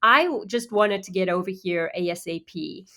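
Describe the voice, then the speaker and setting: Guest actress from Zimbabwe, now sounds American with faint Zimbabwean traces; rambling, filler-heavy, mid-range voice. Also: female voice, medium pitch, moderate pace, sharp tone. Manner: chatty, many fillers and restarts. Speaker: actor guest. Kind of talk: casual interview chat.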